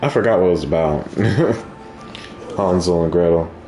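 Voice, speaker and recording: A man's voice: sits low, unhurried, dark tone. A man giving casual, slangy gameplay commentary as a streamer. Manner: informal, slangy. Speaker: gaming streamer. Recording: roomy echo, game music underneath. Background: video game music.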